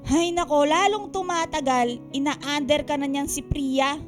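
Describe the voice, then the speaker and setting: A woman's voice: sits high, measured pace. A woman playing a stern, resentful middle-aged aunt who scolds and nags in a Filipino radio drama. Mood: stern, scolding. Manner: scolding, nagging. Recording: radio drama with music behind.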